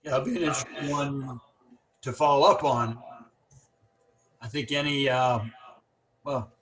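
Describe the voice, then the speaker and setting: A man who stutters hosts a relaxed talk, thinking out loud and checking in with "right?" His voice sits at an ordinary middle pitch. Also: measured pace, poor audio. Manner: reflective, ends with "right?". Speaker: podcast host. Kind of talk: casual conversation.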